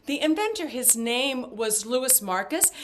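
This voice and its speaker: Woman playing flighty older mother, high voice, unhurried pace, chatty and intrusive, theatrical stage acting. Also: animated, slight background noise. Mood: rambling, eager. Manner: rambling, chirpy, theatrical trivia-telling.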